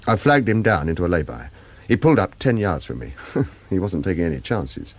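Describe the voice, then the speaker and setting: A man's voice: sits low, very animated, fairly quick, slight background noise. An actor playing a wry, wary ex-agent on the run who tells his own story in a terse, hard-boiled radio thriller style. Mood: brooding narration. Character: retired male agent turned wary hunted fugitive, wry. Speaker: lead character and first-person narrator. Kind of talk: radio thriller acting and narration.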